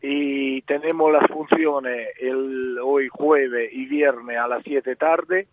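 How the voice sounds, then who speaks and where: A man's voice: medium pitch, steady tone, very slow. A man with an Italian accent, interviewed on the radio about his circus, often repeating himself and restarting phrases. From Spain. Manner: repetitive, restarts often, promotional. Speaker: circus representative. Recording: tinny phone line.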